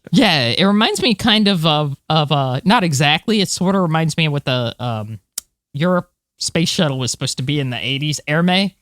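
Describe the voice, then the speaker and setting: A woman's voice: low-pitched, expressive, moderate pace, a bit bright, no room sound, clean studio sound. A woman co-hosting a podcast, talking casually in a chatty, enthusiastic way. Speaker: podcast co-host. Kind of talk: casual space news podcast chat.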